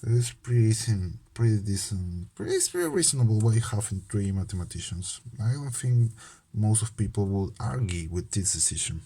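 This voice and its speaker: A man's voice: lowish voice, sing-song, moderate pace, mellow, husky. A young man from Peru with a Peruvian Spanish accent and Spanish as his first language, hosting his channel in a casual, hesitant way with many fillers and restarts. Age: young adult. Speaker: channel host, medical student. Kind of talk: casual card game video talk.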